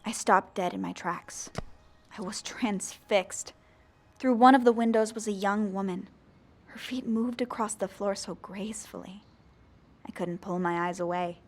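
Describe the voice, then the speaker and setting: A woman's voice: mid-range voice, close to an everyday voice. A woman playing a young female zombie who narrates her own story in an earnest, plain audio drama style. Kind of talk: first-person scripted narration.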